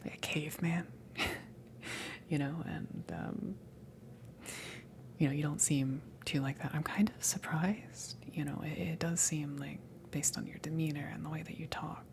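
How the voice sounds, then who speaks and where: A kind, slightly awkward female alien from a salvage crew, played by a woman, speaking in a low-pitched whisper for an ASMR roleplay. The voice is creaky and breathy, and the delivery is hesitant, rambling and reassuring. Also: steady tone, measured pace. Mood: musing, curious. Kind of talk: ASMR roleplay, improvised-sounding soft talk.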